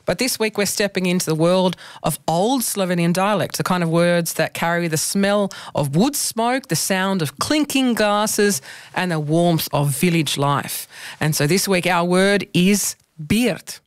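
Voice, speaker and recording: A woman co-hosting community radio, reading a scripted word-of-the-week segment in a measured, unhurried way. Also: on the low side, no echo. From Australia.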